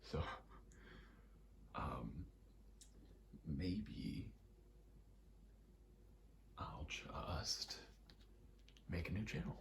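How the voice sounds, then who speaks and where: A man softly rambling in a casual ASMR style, breathy and often whispering, sweary and self-deprecating. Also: very deep voice, very animated, drawn-out words, pauses often. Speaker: video creator rambling to camera. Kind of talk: soft-spoken ASMR ramble. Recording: clear background noise, rattling vent. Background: rattling vent noise.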